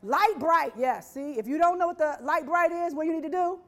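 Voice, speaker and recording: Woman presenter, high voice, rhetorical and repetitive, quizzes the audience. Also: fairly quick. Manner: rhetorical, repeats phrases, asks the audience questions; voices quoted people with lively shifts. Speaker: speaker on workplace diversity.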